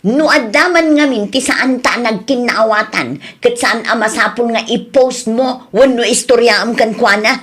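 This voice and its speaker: A woman's voice: a little high, a little slow, a bit bright, slightly rough. A woman playing a quarrelsome, indignant young wife in a radio drama, complaining and confrontational. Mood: aggrieved. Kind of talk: Ilocano radio drama acting.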